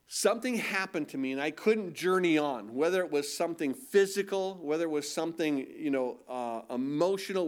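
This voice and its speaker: Nasal, high-pitched male pastor; casual preaching style that leans on questions to the congregation. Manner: conversational preaching with rhetorical questions. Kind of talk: sermon.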